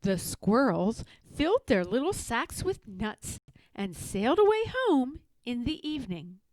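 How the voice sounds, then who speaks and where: A woman reads a children's story aloud in a high voice with very lively intonation. The sound is dry and close, and her delivery is expressive and measured. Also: no echo. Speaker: storybook reader. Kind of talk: scripted children's story narration.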